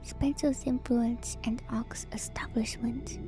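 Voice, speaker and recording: Slow whispering woman, ASMR style. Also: fairly high pitch, steady tone. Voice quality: soft, breathy whisper. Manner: hushed, intimate, rambling asides to viewers. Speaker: streamer host. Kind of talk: ASMR lore reading with casual commentary. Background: music.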